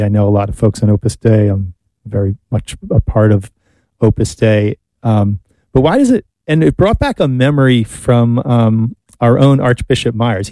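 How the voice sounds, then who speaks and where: A middle-aged American man talks casually as a radio co-host. His voice is mellow and medium in pitch, recorded very dry. He speaks haltingly and reflectively, as if thinking things over. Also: dry recording, poor audio. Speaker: talk show co-host. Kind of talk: casual radio talk with reminiscing.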